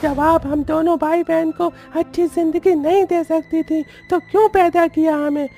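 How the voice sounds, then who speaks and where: A woman voicing a petulant, complaining poor young boy in an animated story. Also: very high-pitched, normal speed, faint music behind. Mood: angry, whining. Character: angry, whiny poor young boy Bunty. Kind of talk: animated moral story dialogue.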